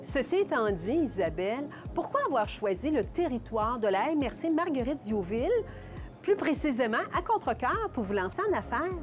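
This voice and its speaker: A woman's voice: fairly high pitch, big pitch swings, normal speed, soft background music. A woman with a Quebec French accent hosting a local TV magazine, speaking in a polished, enthusiastic presenter style. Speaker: TV host and interviewer.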